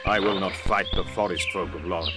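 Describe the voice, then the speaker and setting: A man's voice: deep voice, steady tone, a little fast, loud music behind the voice. An actor playing a wary, sensible male soldier who speaks firmly and formally in a fantasy game. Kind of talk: fantasy game cutscene acting.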